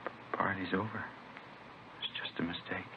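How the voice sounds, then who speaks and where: Tired kind husband, low soothing voice, dull old radio drama sound. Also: male voice, fairly even intonation, normal speed. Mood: soothing, resigned. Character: gentle, weary, protective husband soothing his wife. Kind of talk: 1950s radio drama acting. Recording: muffled, noisy vintage radio recording.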